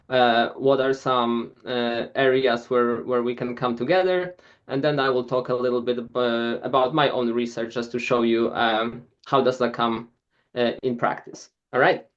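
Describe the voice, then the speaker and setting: A man's voice: mid-range voice, muffled recording. A man, giving an informal club presentation in a hesitant way with many filler sounds. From Poland. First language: Polish.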